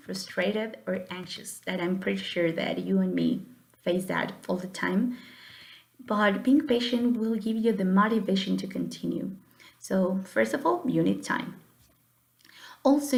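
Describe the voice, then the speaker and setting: A young woman giving an earnest, encouraging speech, sometimes halting and restarting her sentences. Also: mid-range voice, creaky, a little mellow. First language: Spanish. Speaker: student giving a class speech. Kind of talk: prepared personal speech.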